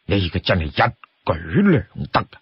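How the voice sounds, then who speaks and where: Man playing an assured low-voiced warrior adviser. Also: big pitch swings, moderate pace, gravelly, breathy edge, no echo, dull audio, heavily acted. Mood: persuasive. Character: assured, loyal male warrior advising his sworn brother.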